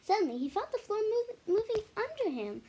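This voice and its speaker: Female picture-book narrator: high, lilting, expressive delivery, slight noise behind the voice. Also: brisk. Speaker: story reader. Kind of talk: expressive children's picture book read-aloud.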